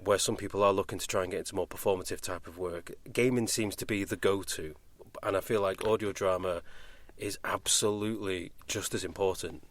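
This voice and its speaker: A man's voice: deep voice, fairly even intonation, fairly quick, few pauses. A British man hosting a podcast, chatting casually in an earnest, enthusiastic way. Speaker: podcast host. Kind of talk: casual interview chat.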